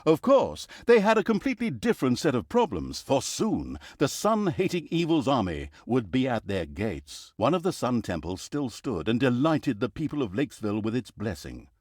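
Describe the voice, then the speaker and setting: A man's voice: mid-range voice, wildly swooping pitch, moderate pace, hardly any pauses, a little mellow, no echo, clean studio sound. A man playing a sardonic, theatrical storyteller who wryly narrates a fantasy video game. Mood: wry storytelling. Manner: theatrical, wry, sarcastic. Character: sardonic, theatrical male storyteller mocking heroes and scolding the player.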